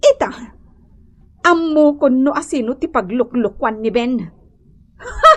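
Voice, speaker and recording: An actress playing a jealous, nagging wife in a comedy radio drama, scolding and accusing with strong emotion. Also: female voice, high-pitched, very animated, a little slow, a bit bright, full character voice. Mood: jealous fury. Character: shrill, jealous, nagging wife who accuses her husband.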